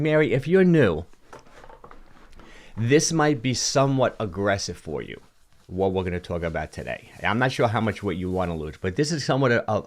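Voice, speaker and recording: Rich: A man in his sixties with a low, deep voice, chatting on a livestream from a home studio into a close studio mic. He is animated and gestures as he talks, often restarting and repeating words while answering viewer questions. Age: 60s. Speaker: fitness coach hosting a livestream. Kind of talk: casual livestream talk, reading viewer comments. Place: indoor home studio with colored lights. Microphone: large studio mic on a boom in front of him.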